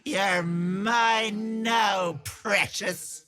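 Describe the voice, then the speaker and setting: An actress playing a gleefully malicious old hag in a video game, raspy and theatrical, with mock-sweet endearments and taunting menace. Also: female voice, medium pitch, fairly even intonation, very slow, a bit bright, soft background music. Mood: sinister, gloating. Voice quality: husky, raspy cackling old voice.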